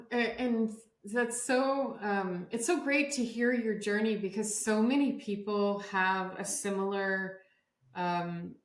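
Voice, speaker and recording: A woman hosting an interview conversationally, searching for her words as she goes. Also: mid-range voice. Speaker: interview host, coaching academy founder. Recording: roomy, echoey sound.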